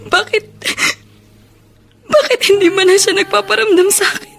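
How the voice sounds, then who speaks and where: An anxious young student in a Filipino radio melodrama. Her voice is high, pleading and heartbroken. Light music runs underneath. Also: steady tone, moderate pace, vocal fry, a bit bright, full character voice. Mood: tearful, hurt.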